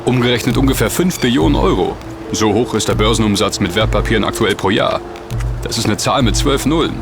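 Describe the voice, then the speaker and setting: A man's voice: on the low side, fairly quick, creaky, over music. An actor playing a well-read, sceptical young man who explains facts at length and distrusts the rich. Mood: explaining.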